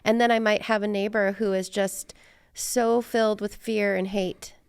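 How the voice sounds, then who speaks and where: A woman's voice: medium pitch, flat delivery, moderate pace, clear, resonant tone, no echo. A woman hosting a casual interview, thinking aloud in a reflective, conversational way. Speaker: podcast host.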